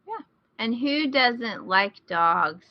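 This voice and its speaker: A woman's voice: on the high side, lively intonation, slow pace, no room sound. A woman co-hosting a podcast, chatting casually with dry, joking banter. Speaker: podcast co-host. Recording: dull, lo-fi close dry audio.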